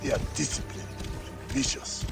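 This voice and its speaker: A man's voice: normal speed, music in the background, natural voice. A man playing a hearty but burdened villager of ancient Egypt in a video game, speaking earnestly and expressively to an old friend. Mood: grave. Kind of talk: video game cutscene acting.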